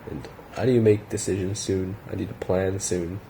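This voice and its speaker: A man's voice: low-pitched, fairly even intonation, normal speed, mellow, a little hiss or hum. A young man in his late teens, talking to camera in a loose, rambling rant full of slang and swearing, often repeating himself. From Chinese heritage. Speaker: vlogger.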